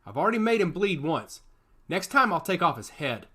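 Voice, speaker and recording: A man's voice: sits high, sing-song, normal speed, sharp tone, husky, pitched above the performer's own voice, full character voice. A man voicing a cocky, defiant male soldier in a science fiction story, speaking tersely. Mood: determined.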